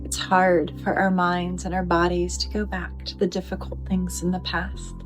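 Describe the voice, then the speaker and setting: A woman leading a guided meditation in a gentle, soothing manner, with deliberate phrasing and pauses. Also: fairly low pitch, level tone, faint music behind, poor audio.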